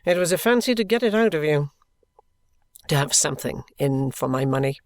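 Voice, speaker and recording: A man's voice: on the high side, fairly quick, dry recording. A man voicing a composed, dry-humoured former soldier in an audiobook. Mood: wry. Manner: calm, dry. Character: composed, wry ex-soldier, middle-aged man. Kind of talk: audiobook reading.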